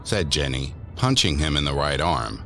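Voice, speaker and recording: A man's voice: a bass voice, big pitch swings, moderate pace, gravelly, fairly bright tone, exaggerated voice. A man narrating a scripted spooky story about teens, as a storyteller in an audio drama. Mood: measured, eerie. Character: male storyteller of a spooky teen tale. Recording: music under the voice.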